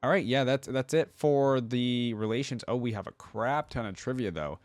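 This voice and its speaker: A man's voice: mid-range voice, normal speed, no room sound. A man hosting a reaction video, reading lore aloud in a casual way with chatty, musing asides. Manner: chatty, musing asides between read passages.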